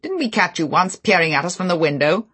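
A man reading the part of a rough, mocking man who bullies a frightened witness, loud and jeering. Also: fairly high pitch, very animated, moderate pace, heavily acted. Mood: bullying.

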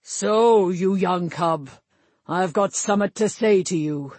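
A woman voicing a massive grey-haired warrior king, a gruff, domineering father who blusters in rustic words, in a fantasy audiobook. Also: lowish voice, slow, a little mellow, no echo. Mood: gruff, accusing.

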